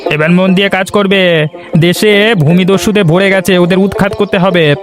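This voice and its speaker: A man's voice: high voice, steady tone, moderate pace, lightly acted. A man playing a stern government superior in a cartoon, instructing in an official manner. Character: stern male government superior giving orders. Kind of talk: cartoon dialogue. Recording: music behind the voice. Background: background music.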